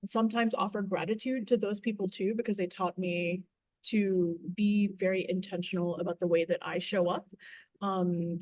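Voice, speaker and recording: A woman's voice: fairly low pitch, darkish tone, no room sound, poor audio. A woman on a video call who reflectively shares a personal story with the group. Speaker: workshop participant.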